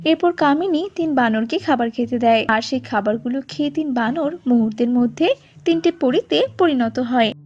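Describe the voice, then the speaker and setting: A woman's voice: high voice, moderate pace, darkish tone, no echo, muffled recording. A woman narrating a scripted fairy tale for a cartoon, with music behind her. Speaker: storyteller. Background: background music.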